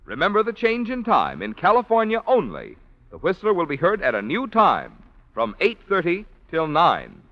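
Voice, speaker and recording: Casting: American man, old-time radio announcer, highish pitch with dramatic swoops, dull vintage broadcast sound. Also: wildly swooping pitch, moderate pace, no room sound. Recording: muffled 1940s radio broadcast.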